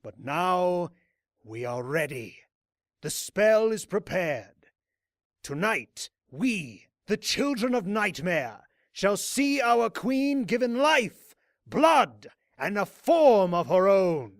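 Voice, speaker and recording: A man voicing a fanatical unicorn cult leader in a fantasy story, orating grandly like a preacher with dramatic pauses. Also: high voice, big pitch swings, no echo, clean studio sound, pitched above the performer's own voice, full character voice. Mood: solemn, proud, then fervent. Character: fanatical male unicorn cult leader rallying his followers.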